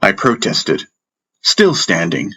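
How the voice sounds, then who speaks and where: A man's voice: low voice, wildly swooping pitch, takes it slowly, sharp tone. A man narrating a scripted fantasy audiobook, with music playing behind his voice. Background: background music.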